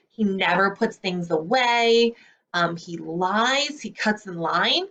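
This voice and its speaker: A woman speaking as a school librarian, explaining things clearly in a read-aloud lesson for young children. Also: medium pitch, a bit bright. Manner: clear, explanatory teacher talk.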